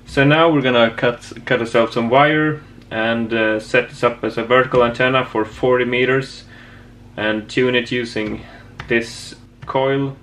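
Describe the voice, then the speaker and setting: A man giving a casual hands-on project demonstration as a hobbyist presenter, with a hesitant, halting delivery. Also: medium pitch, fairly even intonation, a bit bright. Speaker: amateur radio hobbyist presenter. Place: indoor home workshop.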